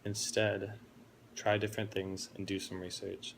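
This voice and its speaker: A young man in his twenties delivers a prepared speech about himself, earnest and reflective. His voice sits deep and gravelly with a narrow, even melody. A faint hiss runs underneath. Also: measured pace. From Florida, United States. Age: 20s. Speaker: college student giving a speech.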